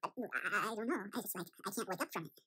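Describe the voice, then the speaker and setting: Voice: mid-range voice, fast talker, sharp tone, breathy edge. A performer voicing a panicky, bewildered young chipmunk boy in a cartoon fan story, rambling and repeating themselves in an anxious, dramatic way. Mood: confused musing.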